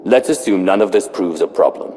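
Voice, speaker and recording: An actor playing a commanding, cold Sith lord in a video game, measured, formal and imperious. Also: male voice, sits low, expressive, mellow, long reverb tail, slight background noise. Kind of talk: video game cutscene acting.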